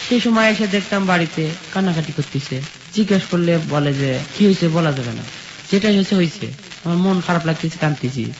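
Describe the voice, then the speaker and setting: A young man from rural Bangladesh recounts his life step by step in plain, colloquial words, quoting what people said. His voice is high for a man, and the recording is dull with a clear sizzling noise behind him. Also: animated, brisk. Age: young adult. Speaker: guest telling his life story. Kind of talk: personal storytelling in an interview, quoting dialogue.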